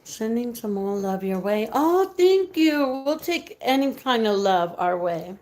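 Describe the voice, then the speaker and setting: Raspy, slow-talking woman live-streaming. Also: a little high, very animated, darkish tone. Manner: chatty, giggly, greets viewers by name; mixes in Spanish words. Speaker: livestream host reading viewer comments. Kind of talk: casual live-stream chat.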